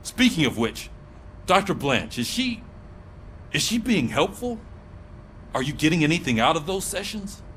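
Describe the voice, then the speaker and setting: Wary game cop, lively intonation, probing, conversational, faint rumble behind. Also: male voice, moderate pace, long pauses. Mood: inquiring. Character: skeptical, probing male police colleague. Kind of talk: video game cutscene acting.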